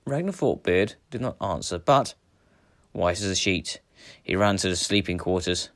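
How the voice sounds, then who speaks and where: A man reading a children's story aloud with a dramatic, expressive delivery. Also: sits low, normal speed, no room sound, clean studio sound. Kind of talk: animated children's story narration.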